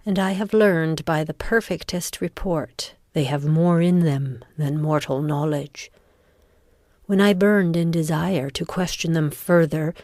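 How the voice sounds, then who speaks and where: A woman playing an ambitious, commanding noblewoman who schemes, in a dramatic Shakespearean reading with deliberate, intense delivery. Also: sits low, darkish tone, dry recording, clean studio sound.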